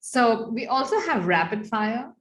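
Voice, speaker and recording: A woman hosting a podcast over a video call, asking gentle questions and giving brief encouraging responses. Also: fairly high pitch, sing-song, a little slow, a little hiss or hum. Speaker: podcast host. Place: small room indoors.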